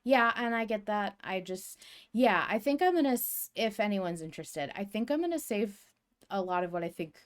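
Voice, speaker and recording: A woman co-hosting a podcast, speaking casually in a measured, tactful way during a fan discussion. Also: medium pitch, expressive. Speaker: podcast host.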